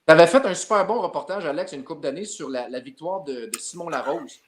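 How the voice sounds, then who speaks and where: A man with a Québécois French accent, a sports journalist guest telling a lively story in a podcast chat. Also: a little high, brisk. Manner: lively, builds up his story with repeated tags.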